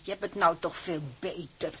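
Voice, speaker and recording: A woman playing a pious, homely older mother in a radio drama, with a husky voice and a reassuring, opinionated air. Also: on the low side, steady tone, normal speed. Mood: pious, approving. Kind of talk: Dutch radio drama acting.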